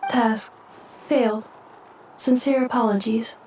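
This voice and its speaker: A woman playing a polite, literal-minded robot girl in an anime game, with halting, formal phrasing. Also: on the high side, fairly even intonation, creaky, a little mellow, recorded from a distance, slight background noise, rough recording. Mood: stoic, apologetic.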